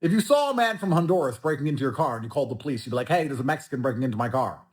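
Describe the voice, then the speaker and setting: A man's voice: mid-range voice, lively intonation, rapid-fire. A man ranting crudely and aggressively on a podcast clip. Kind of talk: podcast rant.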